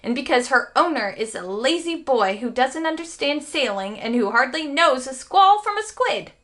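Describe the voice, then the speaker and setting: A woman voicing an excitable grown man who owns a toy sailboat in a storybook, emphatic and dramatic. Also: sits high, moderate pace, pitched above the performer's own voice. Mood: resentful.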